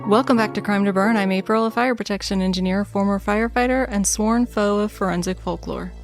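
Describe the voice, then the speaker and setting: A woman hosting a true crime podcast, reading scripted narration in a soothing, measured way. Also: medium pitch, steady tone, faint music behind. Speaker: podcast host.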